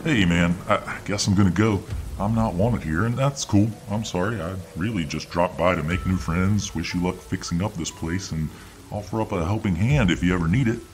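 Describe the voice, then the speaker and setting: A man's voice: sits low, normal speed, a little hiss or hum, pitched above the performer's own voice, close to an everyday voice. A man voicing a friendly, hulking male town hero with a drawl, calling out in a hearty neighborly way. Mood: apologetic. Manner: hearty, neighborly, slightly pleading.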